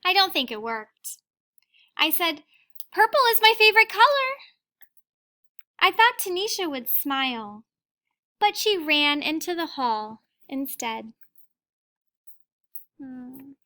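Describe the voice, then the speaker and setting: A woman reading a children's story aloud like a teacher, in an expressive, deliberate way, with asides to her young listeners. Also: high voice.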